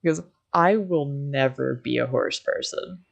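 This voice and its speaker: A young woman in a casual livestream chat, telling stories in an animated way and acting out quoted conversations. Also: extremely low pitch, unhurried, vocal fry, a crowd in the background. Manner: animated storytelling, quoting conversations.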